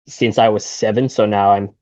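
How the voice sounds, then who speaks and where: Low-voiced man with an American accent. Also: moderate pace, no echo, highs cut off. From Singapore. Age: 30s. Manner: relaxed, rambling, frequent fillers and restarts. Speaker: guest, golf shop owner. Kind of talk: casual interview chat.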